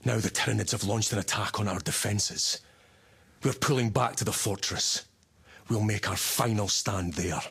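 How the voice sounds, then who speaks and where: Gruff space marine captain in a game, deep husky voice, clipped commanding orders. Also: male voice, fairly even intonation, normal speed, fairly bright tone, exaggerated voice. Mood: grave, commanding. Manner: clipped, authoritative, terse orders. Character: stern, commanding male Space Marine captain, battle-hardened officer. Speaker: military commander in a video game. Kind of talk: dramatic video game voice acting, mission briefing.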